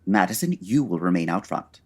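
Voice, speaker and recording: A woman playing a pompous, dryly impatient male Victorian detective with a husky voice and formal, precise diction, in a comedy audio drama. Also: very deep voice, sing-song, a little fast, darkish tone, heavily acted. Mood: formal, brisk.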